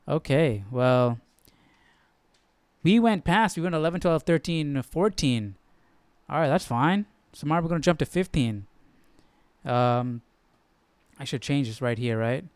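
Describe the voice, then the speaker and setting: Smooth, casual man thinking aloud. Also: fairly high pitch, expressive, unhurried, pauses often, dry recording. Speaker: host of a live Bible study stream. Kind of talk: casual study-along commentary.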